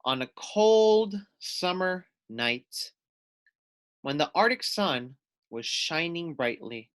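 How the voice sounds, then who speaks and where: Male storybook reader for kids. Expressive, very varied pitch, slow deliberate delivery. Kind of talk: read-aloud for children.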